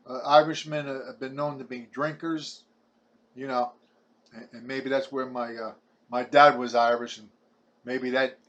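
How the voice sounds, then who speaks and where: Casting: man in his 70s, Brooklyn New York accent, halting chatty preacher-style vlogger, mid-pitched. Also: a bit bright. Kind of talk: rambling personal testimony to camera.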